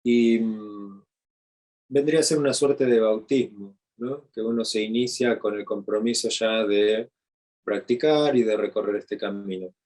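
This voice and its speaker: Slow, halting Argentine man teaching. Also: fairly low pitch, a little mellow. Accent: Argentine Spanish. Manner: slow, halting, with frequent fillers and restarts. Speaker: meditation teacher. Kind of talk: unscripted teaching talk.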